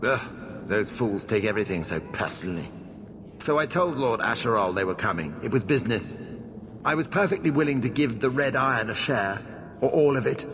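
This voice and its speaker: A man's voice: mid-range voice, animated, a little fast, noisy background, close to an everyday voice. An actor playing an arrogant, irritable nobleman villain in a video game, speaking with open contempt. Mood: irritated, bargaining. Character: arrogant, cornered noble schemer. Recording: loud music behind. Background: game music.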